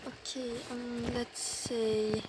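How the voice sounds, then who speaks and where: A young woman whose first language is Swedish comments on her game in a slow, halting way, pausing often as she thinks aloud. Her voice sits a little high, and a steady hiss of noise runs under the home recording. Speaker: gamer recording her screen. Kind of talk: casual gameplay commentary.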